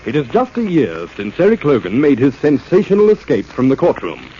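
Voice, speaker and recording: A man's voice: medium pitch, huge pitch swings, fairly quick, mellow, slight background noise. A man narrating a 1940s radio drama as its announcer, in a dramatic scripted style. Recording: muffled vintage radio broadcast.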